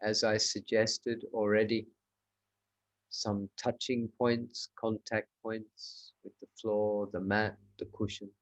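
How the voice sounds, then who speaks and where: A man leading a guided meditation as a teacher, giving measured instructions in short, spaced phrases. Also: on the low side, little pitch movement, muffled recording.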